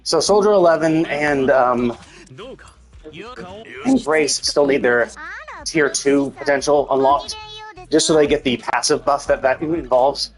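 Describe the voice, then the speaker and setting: A man giving casual, unscripted gameplay commentary on a live stream, rambling and thinking out loud in a husky voice. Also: medium pitch, unhurried, long pauses, faint music behind.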